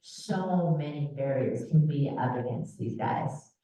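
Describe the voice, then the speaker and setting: Low-voiced woman in an echoey room. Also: expressive, unhurried, mellow. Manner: conversational, often seeks agreement with "right?". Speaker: trafficking survivor advocate and speaker. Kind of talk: informal panel talk with personal story. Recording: muffled, echoey room, far from mic.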